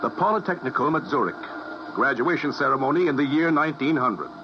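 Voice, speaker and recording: Precise physicist played by a man, instructive and hurried, muffled lo-fi radio sound. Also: medium pitch, lively intonation, music in the background, lightly acted. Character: brisk, precise male physicist running a time machine. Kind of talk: 1950s radio drama acting. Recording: hissy lo-fi old radio recording.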